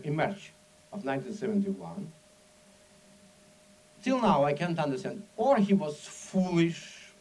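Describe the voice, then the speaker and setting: A man from the Soviet Union with a Russian accent gives a public speech in broken, non-native English, telling his story in an animated, dramatic way with emphatic asides. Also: a little high, breathy edge, rough recording. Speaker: speaker at an event.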